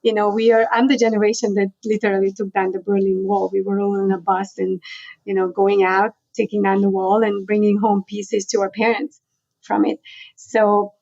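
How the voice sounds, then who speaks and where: A woman's voice: medium pitch, level tone, moderate pace, darkish tone, no room sound, dull audio. A middle-aged woman from Hungary with a Hungarian accent, chatting as a guest in an interview and telling her story with many asides. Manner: storytelling, chatty, frequent "you know" and laughs. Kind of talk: conversational interview.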